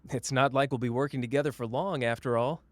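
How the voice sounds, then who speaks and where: A man's voice: medium pitch, fairly even intonation, fast, no room sound, lightly acted. A man playing a cocky, flamboyant young sorcerer who teases the listener in a playful, smug roleplay audio drama. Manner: playful, smug, drawling teasing.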